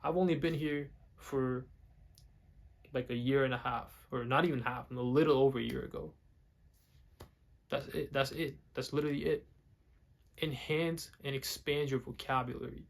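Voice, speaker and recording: A man's voice: medium pitch, fairly even intonation, normal speed, vocal fry, pauses often. Young guy vlogging, english not his first language, keeps restarting sentences, mid voice. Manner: rambling, repeats words and restarts a lot. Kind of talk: casual talk to camera.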